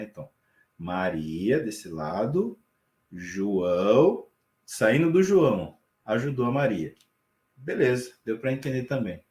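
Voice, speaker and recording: A man, teaching a live online class from an echoey room on his computer mic. He explains patiently and keeps asking his students whether they follow. His voice sits at an ordinary middle pitch. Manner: relaxed explaining with frequent check-ins to students. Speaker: sign language teacher.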